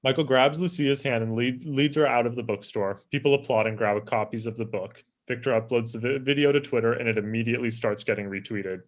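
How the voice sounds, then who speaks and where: A man's voice: medium pitch, brisk, hardly any pauses. A man reading stage directions as scripted narration.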